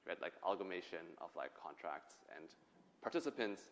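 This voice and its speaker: A man's voice: low voice, animated, a little fast, gravelly, a bit bright. A man answering on a conference panel, rambling and hedging with lots of fillers. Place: conference stage in a large hall. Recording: long reverb of a large hall.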